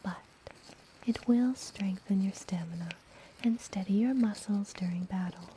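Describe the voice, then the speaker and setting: A woman playing a friendly, mysterious witch healer in a whispered ASMR fantasy roleplay, soothing and gently teasing. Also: medium pitch, slight background noise, close to an everyday voice, poor audio. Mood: calm, instructive. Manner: soothing, unhurried, softly whispered.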